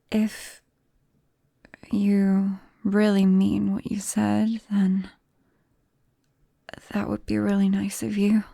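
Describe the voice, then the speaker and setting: A shy, awkward vampire girl played by a woman with a British accent, in an intimate ASMR roleplay. Her voice is soft and mellow with a creaky edge, often sinking into a whisper. She speaks slowly and hesitantly, gentle and bashful with her best friend. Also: mid-range voice, dry recording, clean studio sound.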